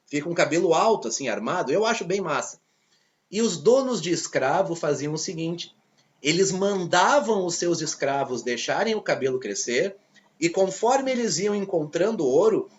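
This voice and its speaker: A man with a Brazilian accent teaching a history lesson, telling it as a lively story and acting out the voices of people in it. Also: fairly high pitch, muffled recording. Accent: Brazilian Portuguese. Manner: lively storytelling, acts out quoted characters. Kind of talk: video lesson.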